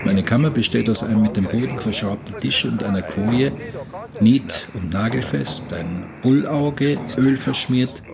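An actor playing a troubled, sleepless seaman, narrating his log in a measured, sombre way in a radio play. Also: medium pitch, vocal fry, crowd noise. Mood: uneasy, brooding.

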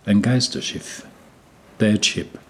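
Radio drama role: bewildered seafarer alone aboard, dreamlike and slow, low register. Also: male voice. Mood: uneasy, searching. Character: bewildered male ship traveller, half dreaming, alone aboard. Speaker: actor in radio play. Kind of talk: slow, fragmented radio play monologue.

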